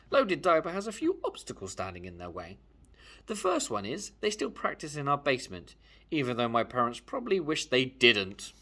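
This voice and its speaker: Male read-aloud narrator, lively pitch movement, slightly rough voice, emphatic first-person storytelling. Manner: animated, emphatic. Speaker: storyteller reading a children's book aloud.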